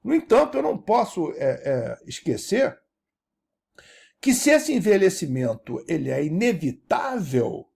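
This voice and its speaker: Older male lecturer, 70s, gravelly and husky, animated delivery with a wide, swooping pitch. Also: a little slow. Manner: animated teacher, keeps asking "né?" to check understanding. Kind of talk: recorded university-style lecture.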